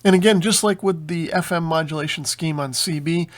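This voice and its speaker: Male host, slightly high voice, informal explainer walking through paperwork point by point. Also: brisk. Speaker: host of a radio hobby channel. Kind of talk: explanatory commentary while reading through a document.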